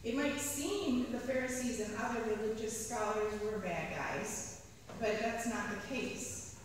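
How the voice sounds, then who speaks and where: A woman's voice: a little high, a little hiss or hum. Woman preaching in an echoey church. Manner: measured, slows to stress key phrases. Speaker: priest. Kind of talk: read sermon. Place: large church sanctuary. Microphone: pulpit mic at a distance. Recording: echoey church hall from far off.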